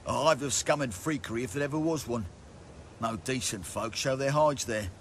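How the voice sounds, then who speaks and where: An actor playing a shifty, uneasy street looter and informant in a fantasy video game. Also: male voice, mid-range voice, moderate pace, fairly bright tone, a little hiss or hum, lightly acted. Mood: wary, informative.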